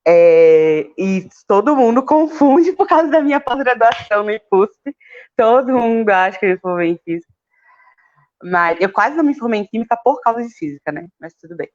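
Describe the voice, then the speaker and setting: A woman's voice: a little high, animated, normal speed, a little mellow. Woman from Salvador, muffled audio. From Salvador, Brazil. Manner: informal, with fillers. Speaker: chemistry graduate and council candidate. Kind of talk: casual spoken life story in a debate.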